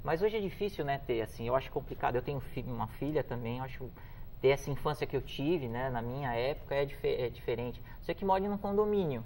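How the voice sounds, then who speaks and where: A man, a guest musician talking in a relaxed, easygoing way. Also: medium pitch, slight background noise. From São Paulo, Brazil. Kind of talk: casual interview chat.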